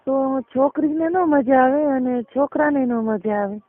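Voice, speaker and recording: A woman's voice: high-pitched, unhurried, dry recording, faint music behind. A woman with a Gujarati accent chatting casually and colloquially, explaining things and often checking that the listener follows. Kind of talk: casual private phone chat. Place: recorded phone call. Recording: muffled narrowband phone call recording.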